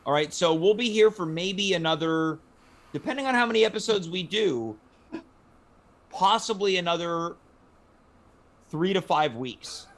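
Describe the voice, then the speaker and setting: Male panel-show host, pitch a bit above average, showy and mock-formal in a casual setting. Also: lively intonation, unhurried, long pauses, fairly bright tone.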